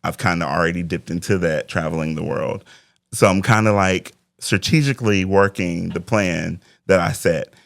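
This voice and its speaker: Forties male life coach, very low gravelly voice, dry close sound, thoughtful and faith-driven. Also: moderate pace, a bit bright, no room sound. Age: 40s. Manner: reflective, faith-driven talk. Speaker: interview guest, life coach. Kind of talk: casual interview conversation.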